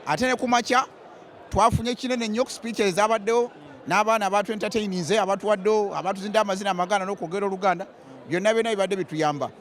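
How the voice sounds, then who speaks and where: A man's voice: high voice, fairly even intonation, rapid-fire. A man being interviewed outdoors at an event, talking in a boastful, playful way. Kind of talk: joking casual interview.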